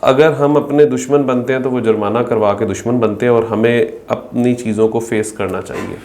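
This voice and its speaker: A man's voice: mid-range voice, steady tone, moderate pace, a little mellow. A man with a Pakistani accent asking questions conversationally as an interviewer. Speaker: interviewer, podcast host.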